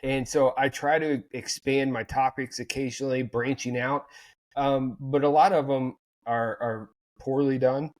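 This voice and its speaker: A man chatting casually as a podcast co-host. His voice sits at an ordinary middle pitch, and he rambles through his thoughts with frequent fillers and restarts. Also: steady tone, unhurried, low-quality recording. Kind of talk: casual chat.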